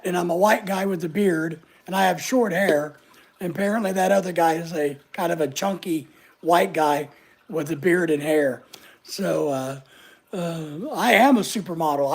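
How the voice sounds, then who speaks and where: A middle-aged man with a high voice often taken for a woman's, chatting casually and joking at his own expense while reading viewer comments. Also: vocal fry. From United States. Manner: chatty, joking, self-mocking. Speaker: channel host reading live chat. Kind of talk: casual livestream chat.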